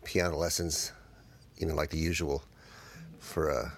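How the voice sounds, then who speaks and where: A man from the United States, a guitarist answering interview questions in a thoughtful, halting way. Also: a bass voice, flat delivery, a little hiss or hum. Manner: thoughtful, halting, with fillers and restarts. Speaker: guest guitarist.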